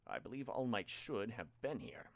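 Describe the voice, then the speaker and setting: A composed male villain made of mist, read by a man, in an audiobook. He speaks in a lowish voice with formal, deliberate courtesy. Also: very animated, no room sound. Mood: politely menacing.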